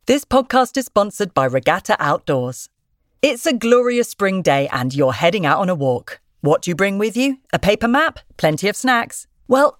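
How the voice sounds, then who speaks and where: Lively woman reading a sponsor spot, dry sound. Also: mid-range voice, no room sound. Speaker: sponsor advert voice-over. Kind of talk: scripted advertising narration.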